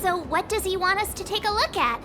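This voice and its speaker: Very high-pitched, chatty sweet girl. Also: animated, brisk, music in the background. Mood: curious, thoughtful. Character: sweet, chatty, cheerful young girl who loves cute animals. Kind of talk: video game character voice acting.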